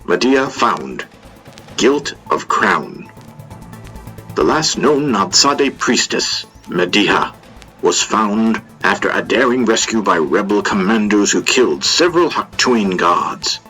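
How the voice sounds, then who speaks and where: A man playing a formal, measured news broadcaster reading a scripted bulletin. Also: on the low side, big pitch swings, pushed and bright, soft background music, heavily acted, rough recording. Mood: grave, formal. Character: formal male news broadcaster reporting a rescue.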